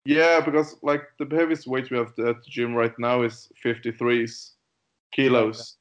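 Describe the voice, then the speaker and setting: Slow, halting man from Denmark. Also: mid-range voice, flat delivery, lo-fi sound. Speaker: guest powerlifter. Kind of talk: casual interview chat.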